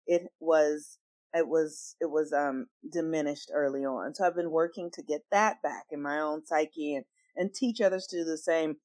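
An earnest woman telling her personal story as a guest in an interview, stressing her points with swings in loudness. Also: deep voice, normal speed, no echo. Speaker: astrologer guest.

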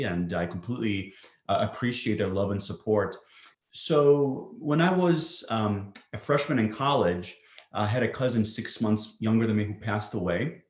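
Man, psychiatrist, low voice, measured, lots of ums, echoey room. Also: a little mellow. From Greece. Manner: measured, many fillers. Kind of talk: explanatory interview answers.

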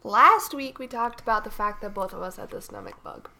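A woman's voice: mid-range voice, expressive, brisk, gravelly, sharp tone. A young adult woman co-hosting a casual chat podcast, sounding a little froggy from a cold and telling rambling stories. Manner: chatty, rambling storyteller. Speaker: podcast co-host.

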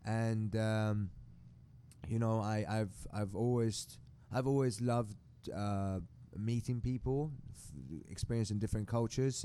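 A man from Sweden talking casually as a podcast guest, halting and repeating words. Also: fairly low pitch, mellow. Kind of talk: casual conversational interview. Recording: clear background noise.

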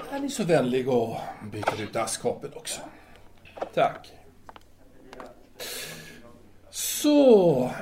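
A man playing a courteous, self-absorbed philosopher and writer in an audio drama, musing and halting as he explains himself. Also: fairly high pitch. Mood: welcoming, distracted.

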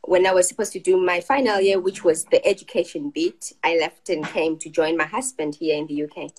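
Woman from Zimbabwe, low relaxed voice. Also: fairly quick, a little hiss or hum, poor audio. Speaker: guest, company CEO. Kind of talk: conversational interview answers.

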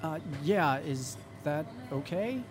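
An actor playing an awkward, wounded young man in a naturalistic audio drama, halting and hesitant between deadpan jokes. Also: fairly high pitch. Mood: distracted. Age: young adult. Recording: loud music behind the voice.

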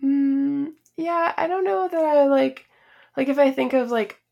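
A woman's voice: high voice, measured pace, vocal fry, dull audio. A woman co-hosting a podcast in casual, informal chat, with loose phrasing sprinkled with "like". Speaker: podcast co-host.

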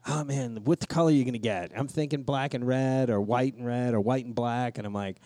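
A man's voice: medium pitch, brisk, dark tone, dry recording, studio quality. A man co-hosting a casual podcast, rambling through stories with plenty of swearing and quoted asides. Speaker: podcast co-host. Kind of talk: casual podcast chat with profanity.

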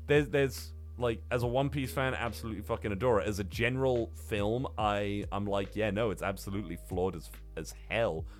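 A man's voice: lowish voice, brisk, hardly any pauses, soft background music. A man co-hosting a podcast, chatting informally and swearing freely as he reviews a film. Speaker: podcast co-host. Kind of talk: casual film review chat.

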